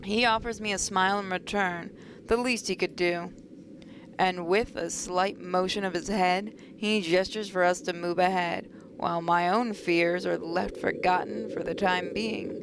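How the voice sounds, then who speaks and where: A woman's voice: fairly low pitch, fairly even intonation, a little slow, noisy background. A woman hosting a gaming video, reading a visual novel aloud with asides and occasional stumbles. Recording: voice over game music.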